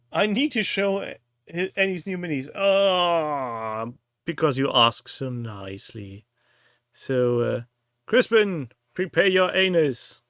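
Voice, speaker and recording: A man's voice: fairly high pitch, lively intonation, slow, a bit bright, dry recording, studio quality. A man from Germany with a German accent, a native German speaker, hosting a casual live-stream chat in a jokey, teasing manner. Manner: jokey, crude humour, teasing.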